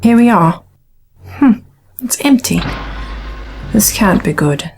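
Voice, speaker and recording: A woman acting in a science fiction audio drama as a brash, wry young reporter and pilot with a sarcastic, expressive delivery. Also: medium pitch, takes it slowly, slight background noise. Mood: worried, businesslike. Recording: music behind the voice.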